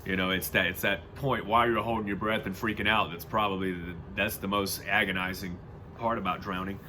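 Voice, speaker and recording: A man's voice: low-pitched, fairly even intonation, brisk, pushed and bright, slight background noise. An American man reading aloud and adding casual, rambling, informal commentary in a home-made recording. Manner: rambling, informal, loses his thread. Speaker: reader and commentator.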